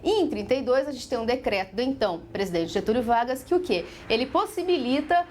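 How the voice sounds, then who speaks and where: Female Brazilian expert interviewee, didactic and explanatory, slightly raised pitch, light ambient noise. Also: expressive, fast, a little mellow. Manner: didactic, asks and answers own questions. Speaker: radio history expert interviewee.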